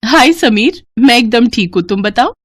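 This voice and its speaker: A woman voicing a bright, dutiful young village daughter in an audio story, eager and sweet. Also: fairly high pitch, lively intonation, normal speed, pitched above the performer's own voice. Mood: friendly.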